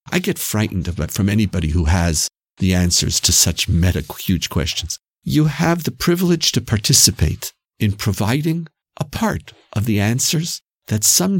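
A man's voice: fairly low pitch, slightly rough, no echo. A Canadian-accented man speaking as an interview guest, reflecting on and explaining ideas emphatically, with repeated phrases for effect. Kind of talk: reflective, explanatory conversation on religion.

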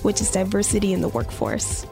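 A woman co-hosting a podcast, reading scripted narration in an even, conversational way. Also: mid-range voice, fairly quick, vocal fry, over music. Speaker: podcast co-host.

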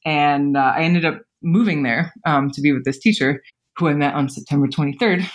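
A woman's voice: low-pitched, brisk. A woman in her thirties, a podcast guest telling personal stories casually, with frequent fillers and laughter. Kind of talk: casual storytelling interview.

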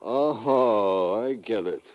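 An actor playing an easygoing, practical American ship's first mate in a 1950s radio drama, talking in a matter-of-fact, colloquial way. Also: male voice, on the low side, very slow. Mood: amused. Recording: dull lo-fi old radio recording.

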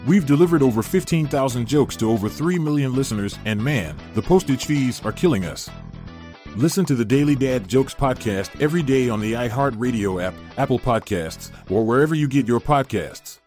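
A man's voice: mid-range voice, expressive, fairly quick, a little mellow, faint music behind. Male announcer on a comic promo. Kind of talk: scripted comic promo narration.

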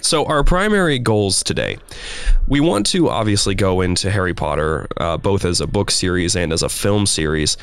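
A man's voice: deep voice, lively intonation, normal speed, creaky, clean tone. A man hosting a casual podcast, talking with a stuffy nose from a cold and often repeating words as he starts a sentence. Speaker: podcast host.

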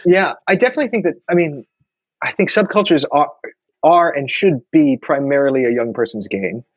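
A man's voice: fairly high pitch, expressive, fairly quick, breathy edge, no echo, muffled recording. A man, talking thoughtfully in a casual conversation with many restarts. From New York. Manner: reflective, many restarts and fillers.

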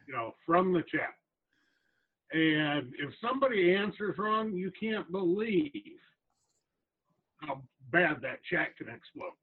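A man's voice: high voice, animated, slow pace, long pauses, husky. A man chatting casually as a co-host, over a thin phone-like connection. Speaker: co-host, sidekick. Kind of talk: casual chat. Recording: thin, phone-like call audio.

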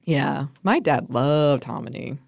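A woman's voice: rumbling low, takes it slowly, creaky, no echo, studio quality. A woman co-hosting a podcast, chatting casually and laughing often. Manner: giggly, animated. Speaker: podcast co-host. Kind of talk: casual chat.

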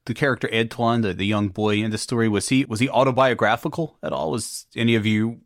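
A man hosting a podcast interview, asking measured, thoughtful questions. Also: medium pitch, no room sound, clean studio sound. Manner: measured, thoughtful, with small restarts. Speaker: podcast host.

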